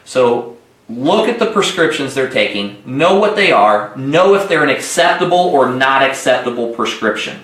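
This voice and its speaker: A man's voice: medium pitch, lively intonation, normal speed, fairly bright tone. A man hosting a training call, giving direct, instructional sales coaching and repeating points for emphasis. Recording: echoey room livestream.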